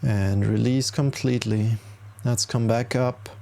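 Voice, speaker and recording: A man leading a guided meditation as a teacher, speaking calmly in short, unhurried instructions with pauses between them. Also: mid-range voice, gravelly. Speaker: yoga nidra meditation teacher. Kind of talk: guided meditation, body scan.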